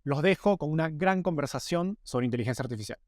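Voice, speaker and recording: A man's voice: a little high, expressive, fast talker. A man hosting an interview podcast, presenting and asking questions. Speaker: podcast host. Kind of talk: scripted narration and podcast interviewing.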